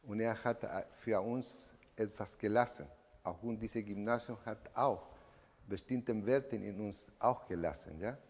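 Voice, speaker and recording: Low-voiced older Chilean man, halting speech. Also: darkish tone. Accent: Chilean Spanish. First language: Spanish. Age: 60s. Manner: halting, searching for words in non-native speech. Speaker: eyewitness speaker giving a testimony. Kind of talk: personal testimony talk to an audience. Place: indoor event room, standing at a lectern. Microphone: microphone on a stand. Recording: reverberant hall.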